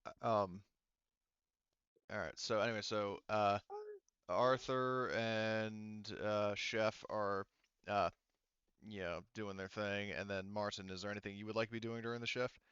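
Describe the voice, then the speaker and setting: Low, smooth-voiced man, slightly muffled. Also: fairly even intonation, measured pace, fairly bright tone, dry recording. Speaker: game master. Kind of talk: running a tabletop roleplaying game, casual.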